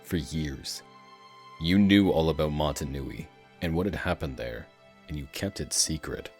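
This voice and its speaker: An earnest cartoon hero, voiced by a man with an extremely low voice. He speaks steadily and sincerely to encourage a teammate, with soft music behind him. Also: unhurried. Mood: earnest, reassuring. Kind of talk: fan-dub animated adventure dialogue.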